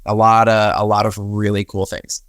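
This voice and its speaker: Young american dude, kinda low voice, chatty interview storytelling, no room sound at all. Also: male voice, monotone, normal speed, a bit bright, no room sound. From United States. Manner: chatty storytelling, often quoting his own thoughts. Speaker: podcast guest, winery and wedding venue manager. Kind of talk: conversational interview answers.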